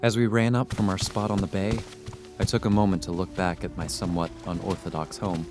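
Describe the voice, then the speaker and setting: An actor playing an earnest, loyal young grandson on the run in a radio drama. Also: male voice, deep voice, level tone, a little fast, over music, close to an everyday voice. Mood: wistful.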